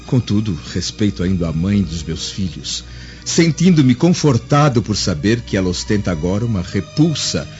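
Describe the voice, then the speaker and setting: Proud roman senator in a radio play, pompous n solemn, big swings in tone, dull audio w music. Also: male voice, lowish voice, big pitch swings, normal speed, darkish tone. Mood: cold, solemn. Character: proud, righteous Roman senator and wounded husband. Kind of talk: radio drama acting. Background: background music.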